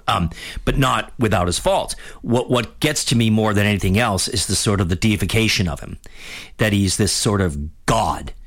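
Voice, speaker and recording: A man of fifty hosting a radio talk show, giving a blunt, rambling opinion monologue with many restarts, his voice getting hoarse. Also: on the low side. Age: 50s. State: losing his voice.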